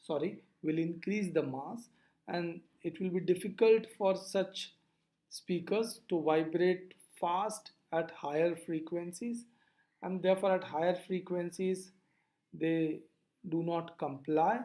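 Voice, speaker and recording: A lecturer explaining physics over slides in a deliberate, didactic way, posing questions and then answering them. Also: mid-range voice, mellow.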